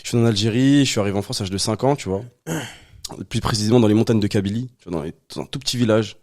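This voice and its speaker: A man in his twenties, originally from Algeria, telling his life story as a podcast guest in relaxed chat full of fillers. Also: fairly low pitch, fast talker. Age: 20s. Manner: relaxed, many fillers and restarts. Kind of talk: casual conversation.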